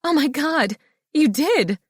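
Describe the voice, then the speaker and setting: A woman voicing a young adult heroine, excitable and in love, speaking in a bright, breathless way. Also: a little high, normal speed, no room sound, clean studio sound, close to an everyday voice. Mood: excited.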